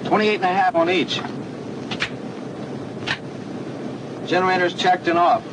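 An actor plays an attentive young-rank trainee pilot in an old training film. The man's voice is high and eager, with clipped phrases over clear engine noise. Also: level tone, fast talker, lightly acted. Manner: attentive, clipped checklist replies. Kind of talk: 1940s training film acting.

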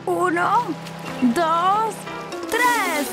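Una mujer que da voz a un niño curioso y entusiasta de cuatro años en dibujos animados, con habla sencilla e infantil. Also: female voice, very high voice, expressive, drawn-out words, exaggerated voice. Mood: eager. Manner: simple, childlike phrasing. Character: curious, eager four-year-old boy. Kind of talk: children's cartoon dubbing. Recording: music behind the voice.

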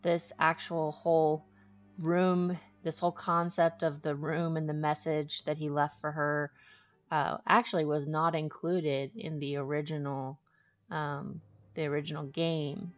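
A woman with a low-pitched voice hosts a video game walkthrough. She talks informally and explains things as she goes, in casual commentary. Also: level tone, a little slow, soft background music.

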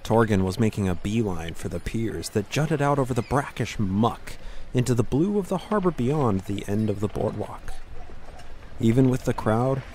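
A man narrates a fantasy tale from a script. His voice sits a little low, and there is faint noise in the recording. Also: darkish tone.

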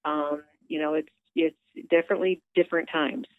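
Woman, low-pitched for a woman, chatty, upbeat, lots of fillers, phone-line sound, dry. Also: steady tone, brisk, no room sound. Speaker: theater director calling in. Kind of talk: casual phone interview. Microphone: telephone.

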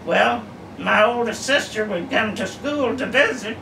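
Elderly great-grandmother, unhurried rambling storyteller; roomy, distant home recording with background hum. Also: a little high, creaky. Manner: slow, rambling storytelling with many uhs. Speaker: great-grandmother telling family stories. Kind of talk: casual reminiscing. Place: living room at home, seated in armchair. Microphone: camera across the room. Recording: echoey room, far camera, background hum.

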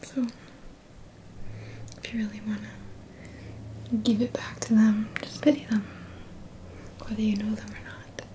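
A woman in her thirties whispers gentle, soothing affirmations as an ASMR energy healer, in a soft, breathy voice close to the mic. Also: mid-range voice, little pitch movement, slight background noise. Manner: gentle, slow, deliberate phrasing with pauses. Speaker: ASMR energy healing practitioner. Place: small dim indoor room. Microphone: close mic, seated near the camera.

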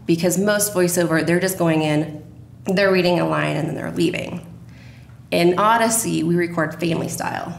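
A woman in her thirties talks as an interview guest, explaining things conversationally. Her voice is low with plenty of fry, and the room around her sounds echoey. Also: normal speed. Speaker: radio drama producer, interview guest. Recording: roomy, echoey, faint background noise.

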